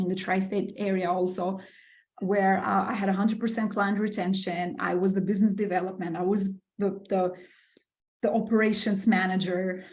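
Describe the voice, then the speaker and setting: Middle-aged woman from Albania, podcast guest; enthusiastic, filler-heavy, mid pitch. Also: a little mellow. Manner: enthusiastic, with many fillers and repeated words. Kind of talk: casual interview storytelling.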